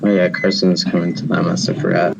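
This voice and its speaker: A man's voice: low-pitched, monotone, fairly quick, vocal fry. A man giving terse instructions in a casual gaming voice chat. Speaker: player directing others in a bus-driving game. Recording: dull, noisy game voice chat.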